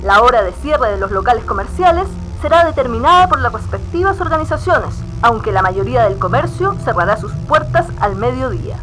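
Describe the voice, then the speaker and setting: A Chilean woman with a high voice reads a scripted news bulletin. She sounds formal and official, and there is faint music in the background. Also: big pitch swings, fairly quick, few pauses, fairly bright tone, low-quality recording. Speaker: news reader.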